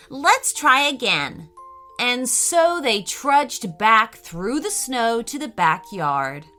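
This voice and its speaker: Children's story narrator, woman: higher-pitched, bright, expressive, careful dramatic delivery.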